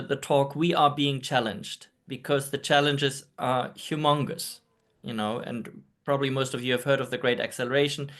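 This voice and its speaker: A man's voice: mid-range voice, a bit bright. Man from Germany, hesitant earnest presenter on a video call. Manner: hesitant, many ums, earnest.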